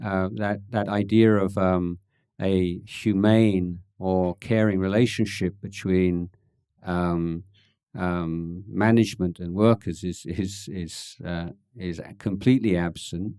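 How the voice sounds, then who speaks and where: A man, a Buddhist monk, answering questions at a meditation retreat in a thoughtful, halting way, with dry gentle humor and many pauses and ums. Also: deep voice, dry recording. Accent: English. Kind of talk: relaxed Dhamma Q&A talk.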